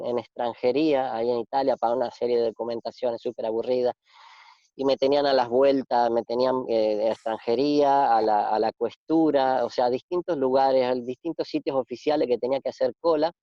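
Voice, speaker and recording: A man in his forties from Argentina, with an Argentine Spanish accent, talking reflectively in a casual interview, pausing and restarting often. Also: mid-range voice, mellow, no room sound. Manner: reflective, halting with many restarts and fillers. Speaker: interview guest. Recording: dull, dry lo-fi sound.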